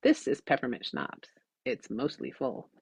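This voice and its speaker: Female author-narrator for fiction audiobook; animated delivery, husky tone, very dry sound. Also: extremely low pitch, measured pace, dry recording. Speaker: author reading own audiobook. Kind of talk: expressive scripted story narration.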